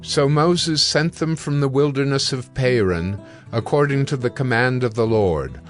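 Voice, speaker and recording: A man narrating scripture from a script in a measured, solemn manner. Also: medium pitch. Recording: music bed under the voice.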